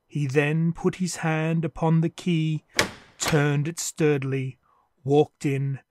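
A man narrating a classic ghost story in a measured, storytelling manner. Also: a little high, darkish tone, no room sound. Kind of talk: scripted dramatic narration.

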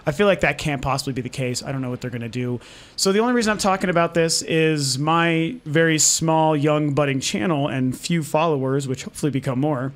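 A man's voice: a little high, brisk. A man from Colorado who is losing his voice, talking casually at home to his channel's viewers with many ums and restarts. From Denver, Colorado, US. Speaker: gaming channel host. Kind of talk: casual talk to camera audience over gameplay footage.

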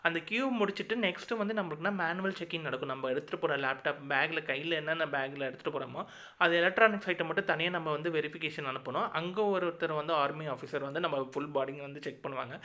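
A man's voice: fairly high pitch, fast talker, bright, forceful tone. A man with a Tamil accent narrating a casual travel vlog, chatty and full of advice, leaning on lots of filler words. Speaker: travel vlogger.

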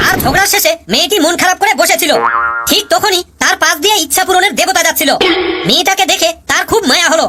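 Woman as wisecracking cartoon pupil; fast, bright, high, storytelling punchlines. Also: a little hiss or hum. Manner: chatty storytelling, punchline delivery. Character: cheeky male pupil, married, joking about his wife. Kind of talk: cartoon comedy voice acting.

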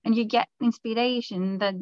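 A woman's voice: fairly high pitch, steady tone, moderate pace, darkish tone, no room sound. Greek-accented woman on a dull call line. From Greece. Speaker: panelist, entrepreneur. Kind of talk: online panel answers.

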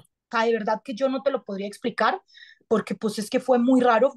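A woman, an actress and TV host chatting casually in an interview on a video call, gesturing a lot as she talks. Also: on the high side. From Colombia. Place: small indoor room. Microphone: webcam on a video call.

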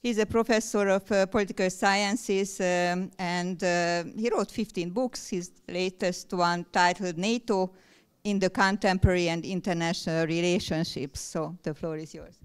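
A woman's voice: fairly low pitch, fairly even intonation, moderate pace, smooth. A woman moderating a conference panel, introducing the next speaker with many hesitant fillers. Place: large conference hall with audience.

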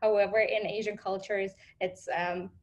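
Woman, young adult, middle pitch, halting, informal, online panel answer. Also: flat delivery, dull audio. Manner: halting, frequent restarts while thinking. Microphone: video call.

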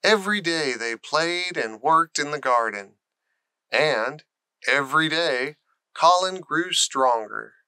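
A man reads a children's story expressively. His voice is crisp and bright, and he takes his time over each phrase. The sound is close and dry. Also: on the high side, no room sound. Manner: deliberate, expressive reading. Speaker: audiobook narrator. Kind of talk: scripted children's storybook narration.

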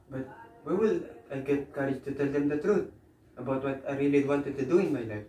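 A man's voice: medium pitch, fairly quick, warm tone, natural voice. A young man playing a dutiful, downhearted son in an amateur melodrama, apologetic and earnest. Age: young adult. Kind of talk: amateur one-act melodrama. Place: small living room, indoors. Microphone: far camera. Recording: echoey living room, distant mic, music.

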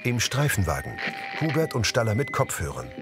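A man's voice: medium pitch, big pitch swings, brisk, loud music behind the voice. A man narrating scenes as an audio describer for a scripted television crime comedy.

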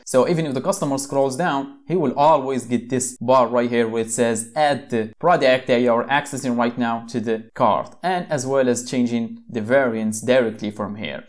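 A man's voice: medium pitch, moderate pace, hardly any pauses. Young man from Morocco, laid-back tutorial host, chatty with fillers, desk mic at home. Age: young adult. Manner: casual, conversational, frequent fillers and restarts. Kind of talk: screen-share software tutorial. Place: small room at home, desk setup.

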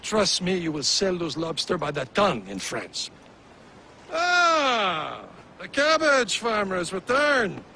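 A man's voice: high-pitched, wildly swooping pitch, slow, gravelly, pushed and bright, a little hiss or hum, heavily acted. An actor playing a mocking, brash male trader in a video game cutscene.

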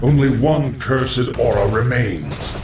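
An actor playing a servile, sinister undead lich sorcerer in a video game, solemn and hollow-voiced, with an echoing effect. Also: male voice, mid-range voice, lively intonation, takes it slowly, heavy background noise. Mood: solemn counsel. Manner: solemn, reverent. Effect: echoing reverb. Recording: echoing, distant, loud music behind.

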